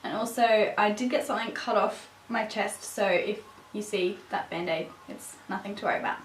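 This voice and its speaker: A woman with a raspy voice, a bit sick with a cough, presenting a makeup tutorial as a beauty vlogger. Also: mid-range voice, normal speed, a little hiss or hum. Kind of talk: scripted makeup tutorial narration. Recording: roomy echo, distant microphone.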